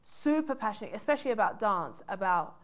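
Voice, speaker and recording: A woman in her twenties raised in the UK, chatting as a studio guest on a talk show and telling stories in a lively, laughing way. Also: medium pitch, brisk. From United Kingdom. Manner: bubbly, laughing storyteller. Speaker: guest, dance wellness advisor. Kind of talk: casual interview chat.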